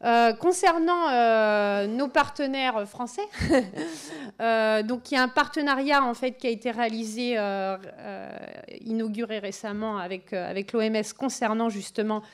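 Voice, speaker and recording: A woman's voice: fairly high pitch, normal speed, clean tone. A woman giving a conference presentation, hesitant, with many fillers and restarts.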